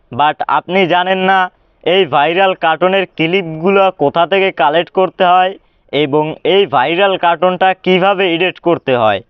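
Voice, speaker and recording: A man presents a tutorial in a notably high, clean and resonant voice. He speaks as a host reading a prepared intro or sign-off. The track is very dry and slightly muffled, lo-fi. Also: clean tone, dry recording. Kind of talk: scripted video intro and sign-off.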